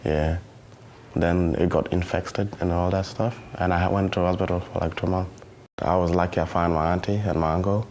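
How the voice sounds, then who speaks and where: A nineteen-year-old man from tells his own story for a documentary, speaking haltingly with many fillers and restarts. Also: deep voice, steady tone, a little mellow, a little hiss or hum. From South Sudan. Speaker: documentary interviewee. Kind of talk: personal testimony in a youth documentary.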